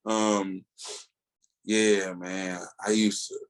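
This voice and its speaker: Low-voiced American man chatting enthusiastically. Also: level tone, very slow, clean tone. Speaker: comics podcast co-host. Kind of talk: casual fan chat.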